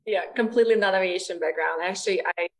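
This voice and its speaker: A woman's voice: mid-range voice, fast talker, a loud crowd. A woman from Ukraine telling her story as an interview guest, open and talkative. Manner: open, storytelling, some fillers. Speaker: program manager, guest. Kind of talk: interview answers.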